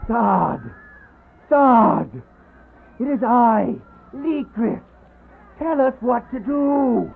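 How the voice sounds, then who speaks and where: Man acting a desperate vampire, creaky high voice. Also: animated, exaggerated voice. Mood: pleading. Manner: slow, declamatory, accusing. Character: desperate, defiant vampire begging and accusing his maker. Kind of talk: theatrical radio horror play acting. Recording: muffled phone-like radio play, background noise.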